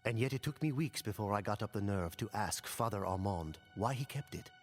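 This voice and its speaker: Game narrator, male actor: grave chronicler, low register, measured scripted storytelling, music underneath. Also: lively intonation, lightly acted. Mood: solemn, reflective. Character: solemn chronicler recounting an old priest's war tale.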